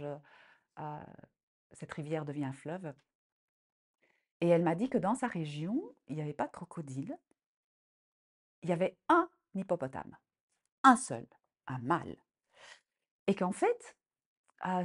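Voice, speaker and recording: A woman in her sixties, born in Congo, a storyteller recounting a family tale with many pauses, fillers and restarts that livens up as the tale goes on. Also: fairly low pitch. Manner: halting, many fillers and restarts, animated when telling the tale. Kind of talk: personal account and folk tale.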